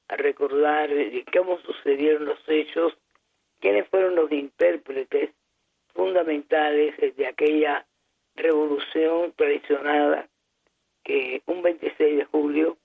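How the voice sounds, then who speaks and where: A woman hosting a political talk show, speaking in a measured, formal way with frequent "eh" pauses. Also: low voice, vocal fry, husky. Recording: tinny phone-like broadcast audio.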